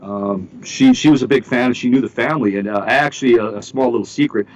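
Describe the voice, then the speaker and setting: A man's voice: mid-range voice, quick pace, lo-fi sound. A middle-aged man from Canada in a casual interview, joking and full of fillers and restarts. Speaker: interview guest.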